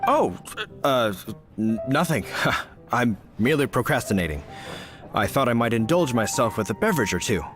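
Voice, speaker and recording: A man's voice: medium pitch, big pitch swings, normal speed, music in the background, natural voice. A man voicing a nervous, evasive knight in a video game, flustered and stammering as he feigns casualness. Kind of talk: video game character acting.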